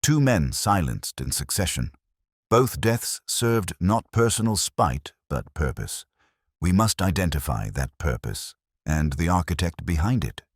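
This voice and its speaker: Man playing a brilliant detective, very deep voice, swooping intonation, commanding, deliberate, cryptic storybook sleuth. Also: very animated, breathy edge, dry recording, clean studio sound, full character voice. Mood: resolute. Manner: deliberate, rhetorical, declarative.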